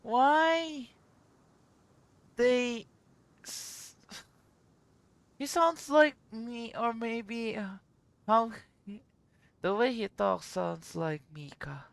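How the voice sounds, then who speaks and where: A woman's voice: a little high, very slow, creaky, pauses often, no room sound. A woman live-streaming a video game, giving casual, reactive asides.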